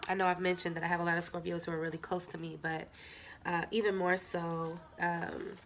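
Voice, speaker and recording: A woman's voice: lowish voice, a bit bright, a little hiss or hum. A woman with a stuffy nose, chatting casually to viewers as she reads tarot cards. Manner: chatty, filler-heavy, talks straight to viewers. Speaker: tarot reader. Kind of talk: casual tarot reading to camera.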